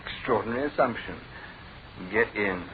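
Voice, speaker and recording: A man's voice: lowish voice, measured pace, lightly acted. Thin old-radio British detective, coolly menacing man acting. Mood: cool menace. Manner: clipped commands, dry menace. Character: coolly menacing male private detective, commanding and sardonic. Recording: thin phone-like old radio recording, crowd-like noise.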